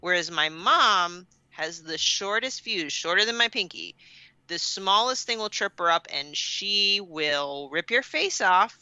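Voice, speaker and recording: A woman podcast co-host with a very bright, forceful voice and smooth, resonant tone. She talks candidly and casually, leaning on words for emphasis. The sound is dry and close. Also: on the low side, lively intonation, a little slow, dry recording, dull audio. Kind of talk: casual personal chat.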